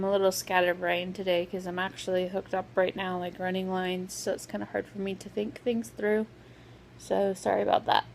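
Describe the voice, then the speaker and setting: Woman vlogging w a sore scratchy throat, kinda gravelly, says um a ton. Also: fairly low pitch. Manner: hesitant, full of "um" fillers. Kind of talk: casual vlog update.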